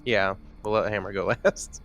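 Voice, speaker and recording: A man's voice: fairly low pitch, steady tone, normal speed, a bit bright, faint music behind. A man playing a tabletop roleplaying game, chatting casually and informally with slangy phrasing.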